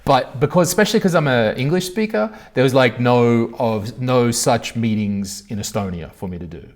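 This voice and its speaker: A man's voice: mid-range voice, lively intonation, normal speed. A man hosting a comedy podcast interview, chatting casually and rambling through stories with joking asides. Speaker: podcast host.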